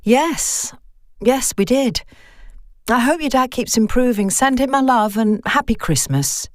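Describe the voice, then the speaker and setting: A woman's voice: on the high side, lively intonation, unhurried, dry recording, studio quality, pitched above the performer's own voice. A woman voicing an anxious, easily startled young woman on the phone in a horror story, hesitant and jittery. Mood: nervous, reassuring. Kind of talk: audio horror story dialogue.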